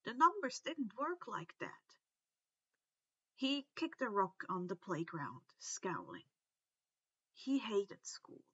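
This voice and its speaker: A woman's voice: medium pitch, sing-song, takes it slowly, long pauses, pushed and bright, slightly rough, no echo, lo-fi sound. A woman narrating fan fiction expressively, like an audiobook, stretching words for dramatic emphasis. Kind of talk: expressive scripted story narration.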